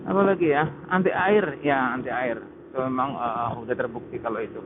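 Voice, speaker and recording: An Indonesian man talks casually as a motovlogger, pausing and searching for words. His voice is medium in pitch and comes through a thin, phone-like helmet mic. Clear road and vehicle noise runs behind him. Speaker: motovlogger reviewing gear. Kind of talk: unscripted spoken review while riding. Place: outdoors on a motorcycle in traffic. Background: loud vehicle and road noise.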